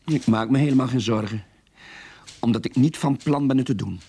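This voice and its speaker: An actor playing a reluctant, put-upon underling in a radio play, dry and pointed, who also narrates. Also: male voice, mid-range voice, fairly quick, dark tone, husky. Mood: sceptical. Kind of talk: radio play acting with first-person narration.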